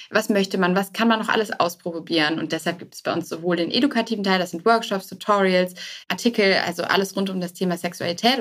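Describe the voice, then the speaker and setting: A woman's voice: fairly low pitch, fairly bright tone. A woman answering as a podcast guest, explaining informally and often rephrasing herself as she goes. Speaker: guest, startup co-founder. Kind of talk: conversational podcast interview answers.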